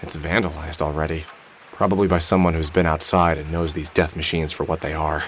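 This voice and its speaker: A man's voice: extremely low pitch, flat delivery, brisk, a little hiss or hum. An actor playing a wry, world-weary middle-aged man in a video game, muttering sardonically as he thinks aloud. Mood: sardonic. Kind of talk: scripted game character monologue, thinking aloud. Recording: music under the voice. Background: music.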